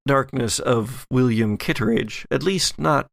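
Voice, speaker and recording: A man narrating a tabletop horror game as game master, in a measured, halting storytelling manner. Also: medium pitch, gravelly, dry recording, studio quality.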